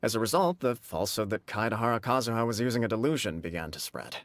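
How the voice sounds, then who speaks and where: An actor playing an earnest, diplomatic official in a video game, speaking formally and deliberately. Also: male voice, lowish voice, expressive, fairly bright tone, dry recording. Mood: explanatory. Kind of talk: video game dialogue acting.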